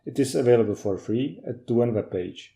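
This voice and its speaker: Man with a very dark, mellow voice. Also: mid-range voice, lively intonation, brisk. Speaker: technical trainer. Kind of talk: scripted instructional narration.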